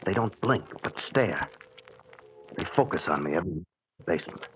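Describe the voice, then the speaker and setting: A man doing an obsessive middle-aged scientist, tense, brooding, lowish voice, muffled old radio recording, faint hiss. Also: lively intonation, normal speed, fairly bright tone. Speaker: father experimenting in basement. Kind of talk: 1940s radio drama acting.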